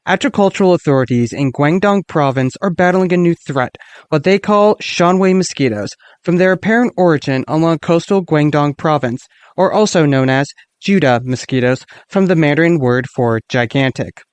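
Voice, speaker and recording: Sober male news reporter, played by an actor, slightly high voice, close dry sound, measured and formal radio drama read. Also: smooth, no room sound, close to an everyday voice. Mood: serious, concerned.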